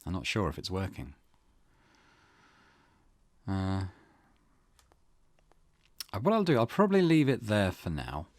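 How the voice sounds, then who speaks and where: A man's voice: low-pitched, wildly swooping pitch, a little slow, pauses often, darkish tone, no room sound. A man hosting a gaming channel, giving casual let's play commentary and reading game text aloud.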